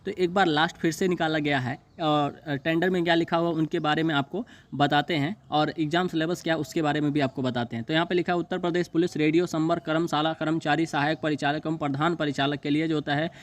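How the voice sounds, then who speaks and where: Man, flat informal explainer. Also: a little high. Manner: halting, repetitive, stumbles over English terms he reads. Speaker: exam news video host. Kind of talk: informal explainer, reading and paraphrasing a notice.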